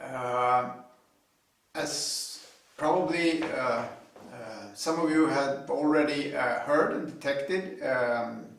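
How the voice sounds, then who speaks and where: A man in his fifties with a Swedish accent, a native Swedish speaker, giving an informal, halting explanation to camera as a hobby builder. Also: medium pitch. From Sweden. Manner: halting, deliberate. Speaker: hobby builder presenting own video series. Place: indoor home garage workshop. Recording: echoey garage, distant microphone.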